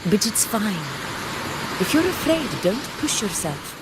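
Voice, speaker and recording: A woman playing a proud, unflappable warrior ruler in a video game, with measured, grand phrasing and a teasing streak. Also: mid-range voice, big pitch swings, heavy background noise.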